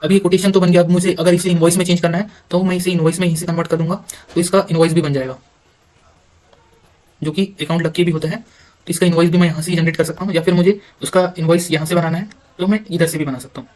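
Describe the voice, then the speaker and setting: An Indian man giving an informal software walkthrough, halting at times and mixing English terms into his speech. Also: on the high side, steady tone, rough recording. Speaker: software demonstrator. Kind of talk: informal screen-recorded tutorial walkthrough.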